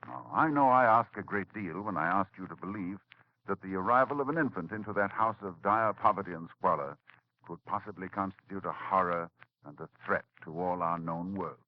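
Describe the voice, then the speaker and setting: Grave low-voiced radio drama narrator. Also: male voice. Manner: grave, measured storytelling. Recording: dull old radio broadcast.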